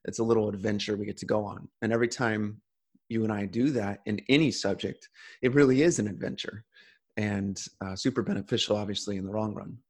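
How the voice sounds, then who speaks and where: A man's voice: on the low side, steady tone, a little fast. A man in casual conversation, talking earnestly with frequent restarts.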